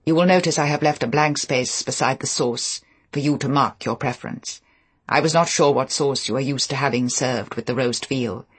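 A woman voicing a cold, deferential female housekeeper with a measured, stiffly polite, formal manner. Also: very deep voice, breathy edge.

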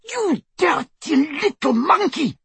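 A man's voice: very high voice, big pitch swings, slow pace, fairly bright tone, no room sound, pitched above the performer's own voice, full character voice. A man voicing a cruel, gloating witch with an imperious, theatrical delivery in a storybook narration. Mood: shrieking fury. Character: cruel, gloating, shrieking witch, adult woman.

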